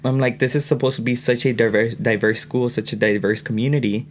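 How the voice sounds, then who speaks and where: A young man from California talking earnestly on a podcast, getting animated as he tells his own story. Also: mid-range voice, brisk, slight background noise. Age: young adult. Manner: earnest, animated when retelling. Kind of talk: casual podcast conversation.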